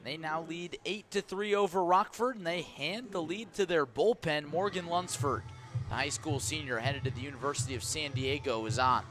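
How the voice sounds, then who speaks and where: A man calling a baseball game play by play for radio, steady and brisk as he tracks each pitch. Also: music in the background. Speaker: play-by-play announcer.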